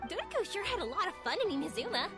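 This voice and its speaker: High, spirited young woman. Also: racing, over music. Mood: upbeat. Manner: energetic, encouraging. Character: spirited kind young woman, upbeat big-sister type. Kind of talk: video game character dialogue.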